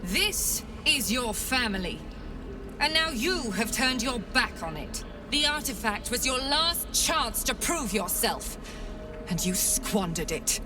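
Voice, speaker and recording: A woman's voice: fairly high pitch, big pitch swings, slow, distant mic. A woman voicing an imperious, cruel cult matriarch in a fantasy video game, speaking in a haughty, condescending way. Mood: cold, persuasive. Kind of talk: theatrical game dialogue. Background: background music.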